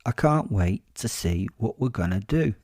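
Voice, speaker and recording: Man as eager, bloodthirsty dog spirit, dark-toned. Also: on the low side, animated, moderate pace, no echo, clean studio sound. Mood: eager, admiring. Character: needy, bloodthirsty male dog-like spirit companion.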